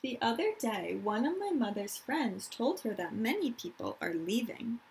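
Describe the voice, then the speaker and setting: A woman's voice: on the high side, sing-song, creaky, a little mellow, husky, low-quality recording. Woman teacher reading aloud to children. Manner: simple, deliberate explanations with emphasis on key words. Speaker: elementary school teacher. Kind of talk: read-aloud lesson for young children.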